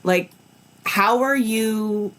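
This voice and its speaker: A woman's voice: fairly high pitch, extremely slow, a bit bright, poor audio. A woman hosting a podcast interview, blunt and indignant, often restarting her words. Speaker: podcast host.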